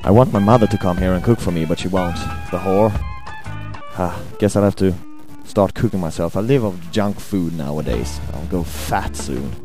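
A young Swedish man with a Swedish accent, hungover, rambling crude thoughts into a home mic in a hesitant, deadpan way. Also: deep voice, moderate pace. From Sweden. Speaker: amateur audio ranter. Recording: home recording with buzz and music.

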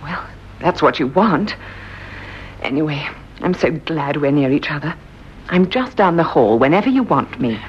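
An actress playing an excitable, superstitious friend who gushes and frets, in a lo-fi old radio drama. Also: female voice, deep voice, moderate pace, long pauses, a little hiss or hum. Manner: gushing, fretful, emphatic. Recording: dull lo-fi old radio recording.

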